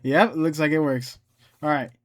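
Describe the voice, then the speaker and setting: A man presenting an informal step-by-step software tutorial, rambling a little and thinking out loud as he goes. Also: a little high, steady tone, normal speed. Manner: informal, rambling, thinks aloud and talks to himself. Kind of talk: casual screen-recorded software tutorial.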